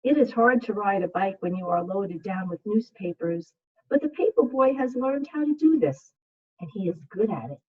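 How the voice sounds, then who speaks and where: Female primary teacher, slightly high and breathy-rough, measured children's read-aloud delivery, narrow video-call sound. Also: expressive. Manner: measured, simple phrasing for young listeners. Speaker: second grade teacher. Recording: muffled, phone-like video call audio.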